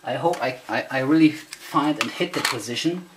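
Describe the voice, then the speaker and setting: A man's voice: mid-range voice, moderate pace. A man with a German accent, a native German speaker, giving a casual hands-on demonstration in hesitant, self-conscious English, searching for words. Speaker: hobbyist demonstrator. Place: indoor room, beside tape machines. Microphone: camera microphone. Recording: noisy amateur camera sound with clicks.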